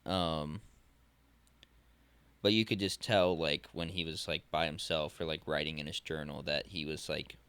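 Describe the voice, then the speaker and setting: Low, unhurried young man, halting. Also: steady tone, fairly bright tone, clean tone, no room sound. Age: 20s. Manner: halting, searching for words with many restarts. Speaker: podcast co-host. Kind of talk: casual podcast conversation.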